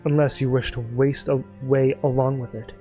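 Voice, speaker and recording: A man's voice: mid-range voice, flat delivery, moderate pace, mellow, faint music behind. A man doing let's play commentary, reading game dialogue aloud in a playful, theatrical way with off-the-cuff asides.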